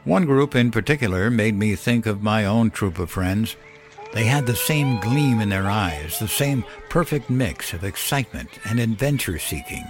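Low-voiced man narrating a solemn horror story. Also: wildly swooping pitch, moderate pace. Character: weary, wistful elderly man telling his ghostly tale. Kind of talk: scripted horror story narration in first person. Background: music under the voice.

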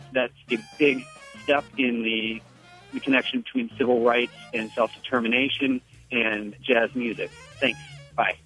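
A young man in his twenties leaves an eager message, rambling a little as he goes. His voice sits at a middle pitch. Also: a bit bright, poor audio. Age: 20s. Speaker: caller.

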